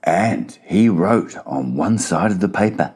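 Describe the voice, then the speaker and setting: A man's voice: sits low, animated, a little slow, darkish tone, husky. A man narrating a children's storybook as a dramatized audiobook.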